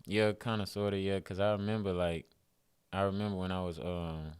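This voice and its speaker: A man's voice: sits low, level tone, brisk, smooth, dry recording, clean studio sound. A man in his twenties with a Houston accent, chatting casually as a guest in an interview, laid-back and rambling with lots of fillers. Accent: Houston, Texas. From Houston, Texas. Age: 20s. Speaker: guest musician.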